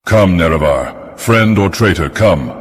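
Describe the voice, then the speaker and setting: A man's voice: deep voice, lively intonation, unhurried. A man playing an arrogant, mocking god villain from a video game, theatrical and pompous in delivery. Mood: solemn, inviting. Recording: long cavernous reverb.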